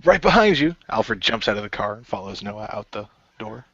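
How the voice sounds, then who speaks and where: A man's voice: on the low side, big pitch swings, moderate pace, bright, forceful tone, husky, lo-fi sound. A man playing casually in an improvised radio play, switching between his character and narration.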